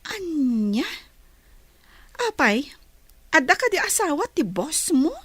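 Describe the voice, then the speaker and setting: A woman's voice: high voice, very animated, takes it slowly, a bit bright, no room sound, exaggerated voice. A woman acting in a radio drama as a young, curious, talkative friend who is easily surprised.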